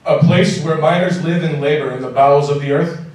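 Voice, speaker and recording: A man's voice: a little high, fairly even intonation, brisk, a little mellow, close to an everyday voice. Man as solemn ghost, echoing and distant. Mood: solemn, proud. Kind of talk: live radio drama acting. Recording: very echoey stage, distant, faint noise.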